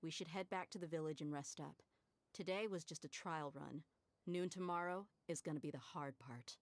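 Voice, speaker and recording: An actress voicing a bold young female adventurer in a video game, steady and wry. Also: low voice, normal speed, no room sound. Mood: resolute.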